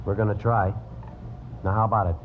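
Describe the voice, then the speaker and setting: An actor playing a terse, dogged police detective in a deadpan, clipped manner in a vintage radio crime drama. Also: male voice, on the low side, a little fast, a little hiss or hum, lightly acted. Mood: accusing. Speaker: lead actor and narrator. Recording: tinny vintage radio, music behind.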